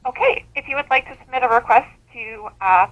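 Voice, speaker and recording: A woman's voice: fairly high pitch, brisk. A woman official on a customer service phone call, explaining things in a formal, procedural way. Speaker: city clerk official.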